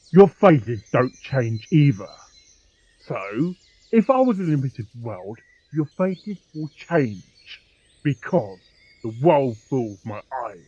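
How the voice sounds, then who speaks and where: A man's voice: mid-range voice, warm tone, slightly rough, highs cut off, heavily acted. Man acting a determined pony detective, dramatic and swooping. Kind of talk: amateur audio drama acting.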